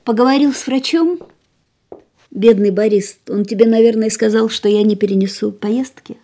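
An actress playing a gravely ill young woman who speaks quietly, tiredly and resolutely, often in a whisper, in a radio play. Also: a little high, moderate pace. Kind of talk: studio radio drama acting.

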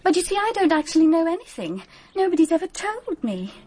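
A woman's voice: very high voice, big pitch swings, brisk, darkish tone. An actress playing a polite but guarded young English heiress in a radio drama, girlish and full of probing questions.

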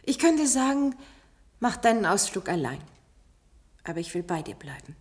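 A woman's voice: medium pitch, sing-song, normal speed, lightly acted. An actress playing a curious, spirited yet wary female companion in a German radio play. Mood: hesitant, devoted.

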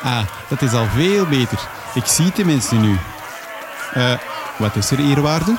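An actor with a Flemish accent playing a hapless, polite, bewildered young journalist in a comic radio drama. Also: male voice, medium pitch, animated, a little slow, crowd noise, background noise. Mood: puzzled, polite.

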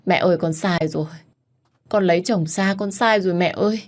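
A woman's voice: deep voice, fairly quick, a little mellow, husky. A woman voicing a grieving, pregnant young daughter in a pleading, tearful delivery, in a dramatic audio story. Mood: desperate pleading.

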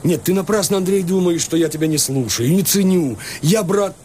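An actor playing an easygoing, expansive country landowner in a radio play, with folksy, exclamatory speech. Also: male voice, sits high, normal speed, darkish tone, a little hiss or hum, lightly acted. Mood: earnest, folksy. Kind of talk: Russian radio play acting.

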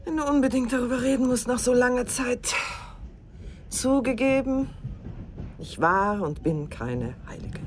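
An actress playing a seductive, teasing middle-aged newlywed in a radio drama, worldly and unrepentant, with an ironic, coquettish way of talking. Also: female voice, fairly high pitch, lively intonation, a little slow, a little hiss or hum. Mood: defiant, ironic.

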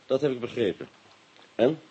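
An actor playing a shrewd police inspector in a radio play, asking clipped, politely insistent questions and muttering to himself. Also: male voice, mid-range voice, expressive, normal speed, dark tone, slightly rough. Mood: probing, polite. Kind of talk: Dutch radio drama acting. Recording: dull, noisy old radio recording.

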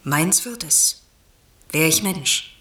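A woman's voice: low-pitched, animated, takes it slowly, a bit bright, heavily acted. A woman playing an obedient airy spirit servant in classical radio drama verse, with a light, deferential delivery. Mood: gentle, obedient. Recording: distant voice with clear tape hiss.

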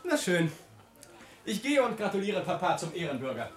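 Echoey young spendthrift, fairly high voice, cheeky, flippant, wheedling, radio play. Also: male voice, sing-song, moderate pace, soft background music. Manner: flippant, wheedling, mock-declamatory. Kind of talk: German radio drama acting. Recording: roomy echo around the voice.

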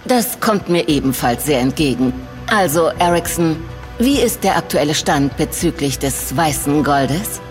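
An actress playing a cold, domineering shareholder in an audio drama, speaking in a clipped, formal manner. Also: female voice, deep voice, lively intonation, a little slow, faint music behind. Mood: businesslike. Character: cold, commanding female shareholder and conspirator. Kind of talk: German audio drama acting.

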